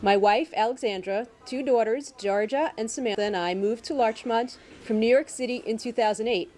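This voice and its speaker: A soft-spoken woman reading a candidate's prepared statement aloud with care. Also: a little high, moderate pace.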